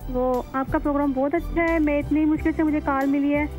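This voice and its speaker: A woman from Pakistan calling a phone-in, asking her question in a halting, loose way. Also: high voice, fairly even intonation, darkish tone, faint music behind. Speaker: caller.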